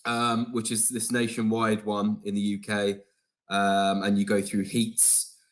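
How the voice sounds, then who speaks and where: A man, a comedian and filmmaker guest, telling his story in a halting way full of ums. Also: on the low side, completely flat delivery. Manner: hesitant, many ums and uhs. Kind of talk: casual interview chat.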